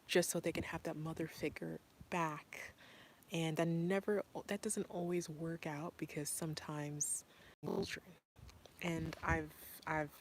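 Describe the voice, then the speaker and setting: A woman's voice: deep voice, slight background noise. A woman from Zimbabwe, a parent, talking casually and earnestly with many fillers and restarts. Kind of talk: casual talk to camera.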